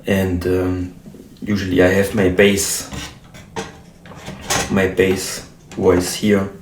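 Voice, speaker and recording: A low-voiced man with a German accent talks in a slow, unhurried way while he works. He often stops to hunt for English words. He sits well back from the mic in a roomy space with faint background noise. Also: completely flat delivery, recorded from a distance. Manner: halting, searching for English words. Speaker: modular synth hobbyist. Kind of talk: casual talk while assembling gear.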